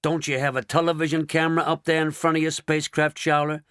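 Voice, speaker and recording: A gruff President with a deep, rasping voice, snapping insults and commands, as a man acts him out. He sounds dry and close, an impatient leader in a storybook. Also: flat delivery, fast talker, a bit bright, no room sound, close to an everyday voice. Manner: barks insults and orders. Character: gruff bossy male US President, adult.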